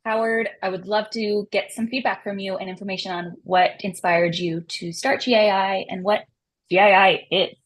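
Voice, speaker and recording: A woman's voice: medium pitch, fairly even intonation, moderate pace. Upbeat woman moderating a webinar. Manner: friendly, organized, upbeat. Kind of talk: webinar hosting.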